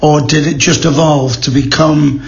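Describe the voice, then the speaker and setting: A man's voice: a little high, steady tone, normal speed, gravelly, dull audio, slight background noise. An older man hosting a radio interview show, chatty and enthusiastic as he introduces and questions his guest. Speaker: radio host.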